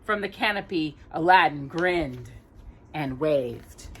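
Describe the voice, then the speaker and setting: Female storyteller, slightly low voice, very lively pitch; expressive read-aloud for small children, with gentle asides. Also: takes it slowly, slight background noise. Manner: expressive, emphatic reading with questions to a young listener. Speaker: storyteller and host.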